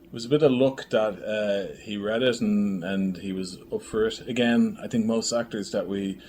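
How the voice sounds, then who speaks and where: A man in a casual interview, speaking as a creative guest in a reflective, halting way. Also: on the low side, fairly even intonation, a little mellow. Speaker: audio drama creator and filmmaker, interview guest. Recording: background noise, room ambience.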